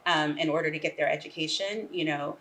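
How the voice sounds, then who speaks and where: Low-voiced, earnest woman playwright. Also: fairly even intonation, a bit bright, a little hiss or hum. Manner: thoughtful, earnest, measured. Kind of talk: reflective interview answers.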